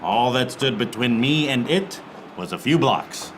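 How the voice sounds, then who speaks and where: An actor playing a wry, beleaguered private eye, narrating a noir radio play in a deadpan manner. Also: male voice, medium pitch, measured pace, background noise, lightly acted. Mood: wry, exasperated. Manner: deadpan, fourth-wall-breaking.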